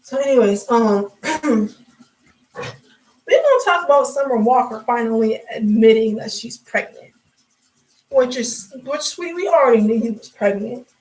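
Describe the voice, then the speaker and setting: A young woman chatting on a livestream, sassy and talkative, calling people sweetie and honey. Her slightly high voice is worn hoarse and raspy from hours of talking without water. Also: expressive, measured pace, a little mellow, rough recording. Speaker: livestream host. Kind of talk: casual livestream chat.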